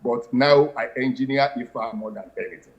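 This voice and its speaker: Measured man, lo-fi sound. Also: medium pitch. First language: Yoruba. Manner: measured, explanatory, formal wording. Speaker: guest, spiritual practitioner. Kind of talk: interview answers.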